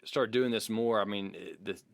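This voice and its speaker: A man with a fairly low voice talks casually as a podcast co-host. The sound is dry, with very little room around him. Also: normal speed, vocal fry, no echo. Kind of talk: casual conversational podcast talk.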